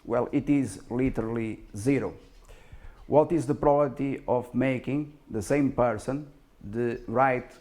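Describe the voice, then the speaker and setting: A man who is not a native English speaker giving self-promotional stock market commentary, speaking in a halting but emphatic, self-assured way. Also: medium pitch, darkish tone. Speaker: stock market analyst and presenter.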